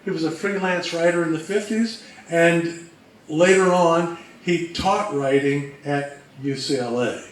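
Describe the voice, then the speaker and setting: A man's voice: on the high side, measured pace. A man co-presenting a history talk, explaining and itemizing in a prepared but informal way. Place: room presentation before an audience. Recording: roomy, distant mic, faint background noise.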